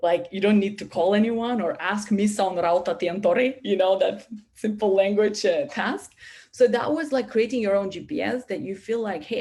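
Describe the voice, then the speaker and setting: Animated woman speaking in a webinar. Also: medium pitch. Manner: animated, many restarts and "you know" fillers. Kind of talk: webinar talk. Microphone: video call.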